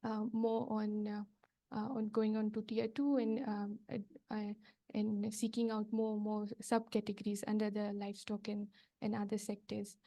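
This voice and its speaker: A woman's voice: mid-range voice, monotone, normal speed, warm tone. A woman from Fiji, a project coordinator, giving a workshop talk without slides in a hesitant way with many fillers.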